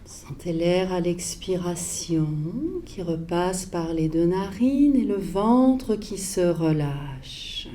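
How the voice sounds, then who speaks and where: A woman yoga teacher leading a guided relaxation with calm, measured instructions and pauses between short phrases. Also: fairly low pitch, slight background noise.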